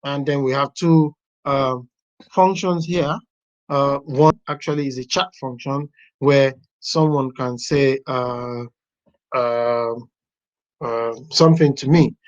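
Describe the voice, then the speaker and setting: A software testing teacher walking a class through a website step by step, thinking aloud as they browse. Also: mid-range voice, steady tone, slow pace. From Nigeria. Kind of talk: live class walkthrough. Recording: dull lo-fi online class audio.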